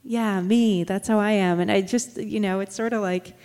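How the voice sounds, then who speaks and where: Animated woman guest, long reverb. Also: medium pitch, moderate pace, smooth. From California. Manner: animated, self-deprecating, many fillers. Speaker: guest, memoir author. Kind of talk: casual interview chat.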